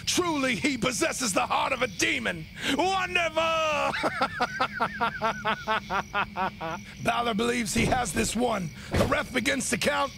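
An actor playing a gleeful, theatrical villain who commands monsters and gives melodramatic, sinister commentary on a wrestling match in a video game. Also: male voice, extremely high pitch, animated, gravelly, very noisy, heavily acted. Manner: melodramatic, sinister, cackling, drawn-out exclamations.

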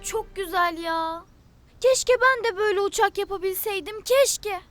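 A woman voicing a little, supportive, excitable young cartoon animal with squeaky, childlike eagerness, in a children's show. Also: a little slow. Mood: wistful. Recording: loud music behind the voice. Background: cartoon music.

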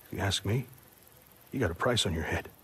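An actor playing a weary, resentful camp work boss in a video game cutscene, blunt and argumentative. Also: male voice, low-pitched, brisk, noisy background, natural voice. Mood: grim, accusing.

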